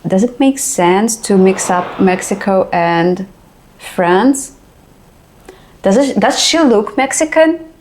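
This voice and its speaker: A woman from Russia with a Russian accent, hosting a casual reaction video and pausing often to search for words. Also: medium pitch, animated, a little mellow, slight background noise, low-quality recording. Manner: hesitant, searches for words with many pauses.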